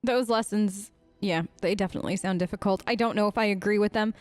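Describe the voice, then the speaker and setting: A woman's voice: medium pitch, steady tone, fast talker, faint music behind. A woman hosting a role-play let's play, chatting casually in character in an earnest, playful way. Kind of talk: casual in-character game commentary.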